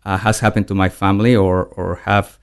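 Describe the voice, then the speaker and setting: A man who grew up in Mexico, a guest in a casual interview, speaking haltingly with many restarts and fillers. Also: low-pitched, level tone, clean tone.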